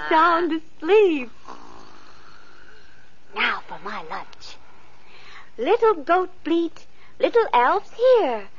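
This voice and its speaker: A woman playing a gentle, kind young girl in a vintage radio play, speaking sweetly and coaxingly. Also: very high-pitched, sing-song, drawn-out words, long pauses, slight background noise, full character voice. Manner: sing-song, sweet and coaxing. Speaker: radio actress. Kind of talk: 1940s radio acting. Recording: muffled old radio recording.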